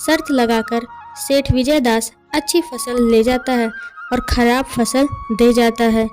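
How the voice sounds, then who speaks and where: A woman's voice: a little high, steady tone, takes it slowly, a little mellow, faint music behind. A woman narrator reading a scripted moral story in a bedtime tale style.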